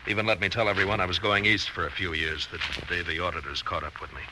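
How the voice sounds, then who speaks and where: A man's voice: deep voice, flat delivery, fast talker, close to an everyday voice. An actor playing a troubled, determined middle-aged ex-convict businessman in an earnest, emphatic 1940s radio drama style. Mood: worried, pleading. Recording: noisy, crackly old radio recording.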